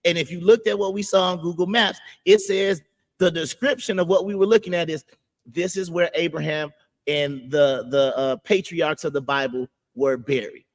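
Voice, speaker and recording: A man's voice: a little high, normal speed. A man hosting a podcast discussion who explains things step by step, repeats himself and talks over interruptions. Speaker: podcast host.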